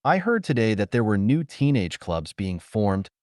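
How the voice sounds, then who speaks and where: A man voicing a composed, teasing, unabashed woman in a pulp science fiction audiobook, matter-of-fact and gently provoking. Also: lowish voice, big pitch swings, normal speed, no echo, clean studio sound.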